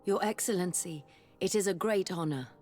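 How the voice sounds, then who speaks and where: An actress playing a formal, assertive scholar and emissary in a video game. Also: female voice, lowish voice, moderate pace, darkish tone, lightly acted. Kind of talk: video game cutscene acting. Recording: background music under the voice.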